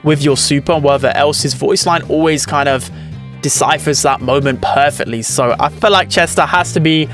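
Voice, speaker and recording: A man's voice: a little high, moderate pace, a bit bright, faint music behind. A young man giving casual, chatty gaming commentary in a run-on delivery. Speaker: gaming video host.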